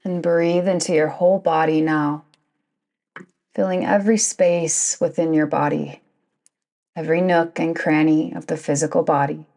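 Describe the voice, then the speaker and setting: A woman guiding a yoga nidra meditation in a soothing, deliberate manner, with long pauses between phrases. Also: sits low, fairly even intonation, creaky, warm tone. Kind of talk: guided meditation narration.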